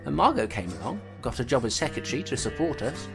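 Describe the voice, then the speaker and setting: A tough, wry constable in an audio drama, her voice low but swinging widely. She talks bluntly and guards what she says. Music plays behind her. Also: very animated, fast talker, vocal fry, heavily acted. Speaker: constable narrating her case. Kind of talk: audio drama narration and dialogue.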